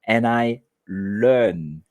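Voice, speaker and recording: Haitian Creole-speaking man, teacher guest; very low, slow, halting, dry close sound. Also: darkish tone, no echo. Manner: halting, many restarts and fillers. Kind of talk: conversational interview answers.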